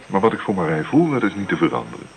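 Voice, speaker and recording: A man's voice: sits low, huge pitch swings, very fast, creaky, faint music behind, full character voice. An actor playing an eager, lovelorn young man in a Dutch radio drama. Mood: earnest, lovelorn. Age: young adult. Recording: noisy radio play recording.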